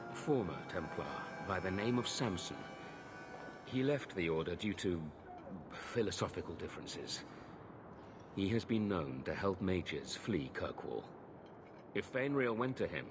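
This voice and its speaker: Game casting: stern knight of a holy order, deep, formal, guarded, music behind. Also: male voice. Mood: reluctant, confiding. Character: stern, guarded male Templar knight, reluctantly helpful. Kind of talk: video game dialogue acting.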